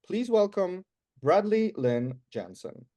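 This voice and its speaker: Lively male podcast host, dry sound. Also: high-pitched, slow, no echo. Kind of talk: scripted host introduction and questions.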